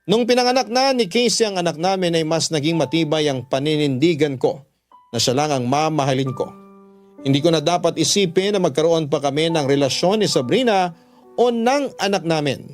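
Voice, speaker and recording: A man's voice: fairly high pitch, brisk, soft background music. A man with a Filipino accent narrating a first-person confessional story for radio, earnest and sorrowful. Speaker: radio drama narrator reading a letter sender's story.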